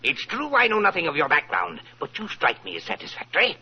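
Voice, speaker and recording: A man's voice: fairly high pitch, wildly swooping pitch, fast talker, husky, full character voice. An actor playing a wealthy, paranoid, self-satisfied old businessman in 1940s radio style, grandly wordy and theatrical. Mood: triumphant, boastful. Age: older. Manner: theatrical, grandly wordy, repeats himself for emphasis. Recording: muffled vintage radio recording.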